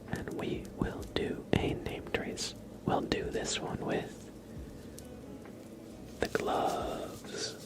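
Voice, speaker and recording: A man whispering softly and breathily as an ASMR livestream host, chatting informally and directly to his viewers. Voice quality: soft, breathy whisper with some creak.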